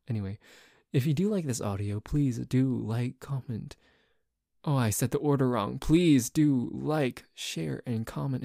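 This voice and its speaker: A man's voice: mid-range voice, creaky, dark tone, dry recording, clean studio sound. A man, an audio roleplay creator, rambling casually and self-deprecatingly to his listeners. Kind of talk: casual outro chat to listeners.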